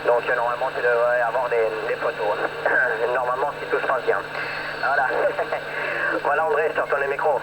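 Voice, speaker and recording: A man's voice: medium pitch, level tone, a little fast. A man from France chats casually as a radio operator over a two-way radio, rambling and repeating himself. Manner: rambling, repeats himself, ends phrases on tags. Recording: clearly noisy two-way radio transmission.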